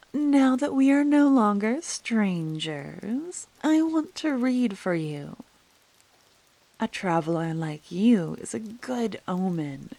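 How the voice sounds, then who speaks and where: A woman voicing a flirtatious, mysterious female fortune teller in an ASMR roleplay, teasing in a soft whisper. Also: on the high side, gravelly, voice pushed up, exaggerated voice.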